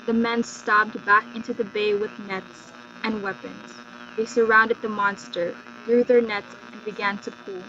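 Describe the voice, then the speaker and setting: A woman's voice: medium pitch, flat delivery, measured pace. A woman storyteller giving a scripted, dramatic narration of a folktale. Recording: dull lo-fi recording with loud mains hum.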